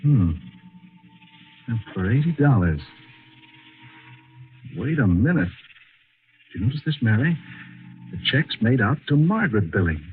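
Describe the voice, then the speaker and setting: A man's voice: medium pitch, sing-song, a little slow, long pauses, faint music behind. An American actor playing a skeptical but caring male companion in a 1940s radio drama. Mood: gentle, inquisitive. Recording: muffled, lo-fi vintage radio broadcast recording.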